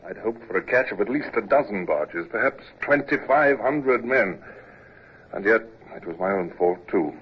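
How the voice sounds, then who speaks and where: Man doing a crisp naval commodore, commanding, low voice, muffled old radio broadcast with hiss. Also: expressive, natural voice. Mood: reflective. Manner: clipped and commanding, with halting restarts. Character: naval commodore, middle-aged man, dry and decisive. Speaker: lead actor and narrator. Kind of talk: 1950s radio drama acting.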